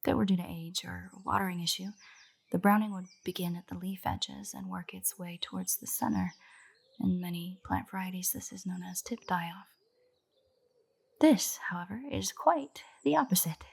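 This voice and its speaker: A woman's voice: fairly low pitch, moderate pace, vocal fry, slightly rough, heavily acted. A woman playing an earnest, nerdy royal botanist in a fantasy audio roleplay, speaking in a precise, formal, lecturing way directly to the listener. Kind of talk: roleplay audio drama, scripted monologue to the listener. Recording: birdsong behind the voice. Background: birdsong, garden ambience.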